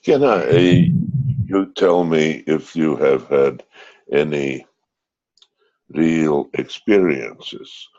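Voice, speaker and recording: A man working as a therapist, introducing and questioning his client in a halting interview style. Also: rumbling low, a little mellow. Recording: dull lo-fi audio.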